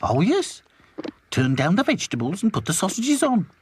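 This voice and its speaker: A man's voice: high-pitched, wildly swooping pitch, moderate pace, a little hiss or hum, voice pushed up. A man voicing a forgetful, fussy female canteen cook who dithers and scolds herself. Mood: dithering. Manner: dithering, scatterbrained.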